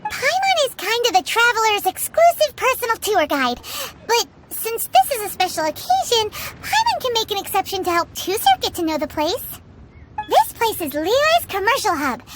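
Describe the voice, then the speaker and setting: A woman voicing a small, excitable, bossy fairy-like girl guide in a video game, with a squeaky childlike voice. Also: heavy background noise. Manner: refers to self in third person, exclamatory. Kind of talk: video game character acting. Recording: loud music under the voice.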